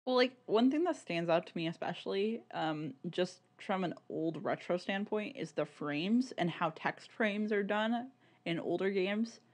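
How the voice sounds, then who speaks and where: A woman's voice: medium pitch, animated, a little slow. A woman co-hosting a podcast in casual, sweary chat, peppering her sentences with "like". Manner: informal, sweary, peppers speech with "like". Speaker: podcast co-host.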